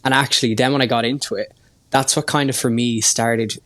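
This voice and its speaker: A man of thirty with a softened Irish accent, chatting casually as a hairstylist guest, self-deprecating and full of "like" fillers. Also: mid-range voice, steady tone, a little fast, dry recording. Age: 30s. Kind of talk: casual interview chat.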